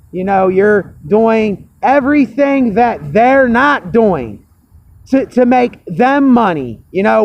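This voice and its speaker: A man with a hoarse, cracking voice vents to his camera, fired up, repeating himself and swearing freely. His voice sits high and turns gravelly. The recording is slightly muffled, with a faint hiss underneath. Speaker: vlogger. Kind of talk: angry personal rant to camera.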